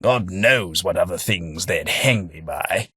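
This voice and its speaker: Casting: mild, wry English gentleman for a game, low-pitched, slow, slightly husky, dry booth. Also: animated, dry recording, full character voice. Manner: mild, self-deprecating, drily humorous. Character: gentle hapless posh English gentleman, a former clerk. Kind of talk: game character voice lines.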